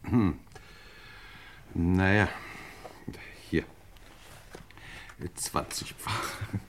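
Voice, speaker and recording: An actor playing an elderly lord and indulgent uncle in a German crime radio play, with a hesitant, theatrical manner. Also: male voice, low-pitched, very slow, a bit bright, slight background noise, heavily acted.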